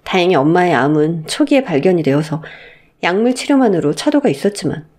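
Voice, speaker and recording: A woman's voice: on the low side, sing-song, a little fast, a little mellow. A woman narrator reading a scripted first-person story in a dramatic storytelling style.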